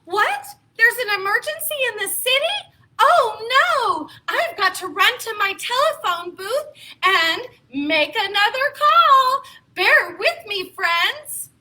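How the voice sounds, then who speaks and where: Woman as busy lady superhero for a kids' skit: extremely high, piercingly bright, exaggerated and breezy. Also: big pitch swings, slow, heavily acted. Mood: urgent, playful. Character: excitable, busy female superhero with a secret identity.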